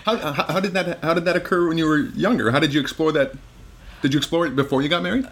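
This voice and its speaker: A man's voice: fairly high pitch, fast talker, creaky, a bit bright. A man hosting a podcast, talking in a loose, rambling way with many restarts, then interviewing a guest. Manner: rambling, friendly, full of restarts. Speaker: podcast host.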